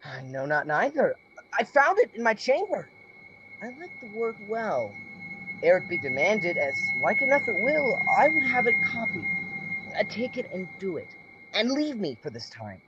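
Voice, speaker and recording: A woman playing a courteous but evasive young male officer in a Shakespearean radio play, with a husky voice. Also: mid-range voice, sing-song, normal speed, lo-fi sound, background noise, lightly acted. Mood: impatient.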